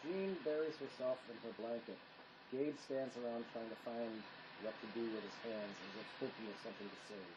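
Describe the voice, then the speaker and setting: A man's voice: medium pitch, brisk, muffled recording. A man reading stage directions as scripted narration. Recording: clear background noise.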